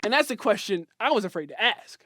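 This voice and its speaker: A man's voice: sits high, sing-song, fairly quick, a bit bright, soft background music. A young man telling a comedic personal story in a playful, slangy, punchy way, as host of his channel.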